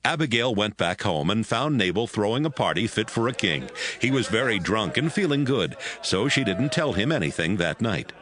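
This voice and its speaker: A man narrating a scripted audio Bible as a story, in a measured storytelling manner. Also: lowish voice, big pitch swings, fairly bright tone.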